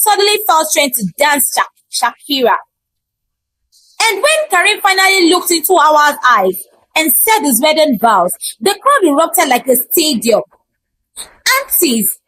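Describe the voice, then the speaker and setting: A woman telling a scripted folktale in an expressive, theatrical and humorous storytelling style. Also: high-pitched, low-quality recording.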